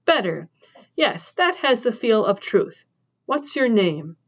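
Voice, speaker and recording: A woman's voice: on the high side, big pitch swings, takes it slowly, highs cut off, full character voice. A woman voicing a tough, wary middle-aged farm woman with a faint sing-song accent, who questions sharply. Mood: interrogating.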